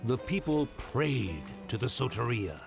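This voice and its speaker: A grand, solemn storyteller from a fantasy game. His voice is mid-pitched and rises and falls with drama. Music plays clearly behind the narration. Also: very animated, measured pace. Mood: grave, dramatic. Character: grand solemn male storyteller of dragon legend.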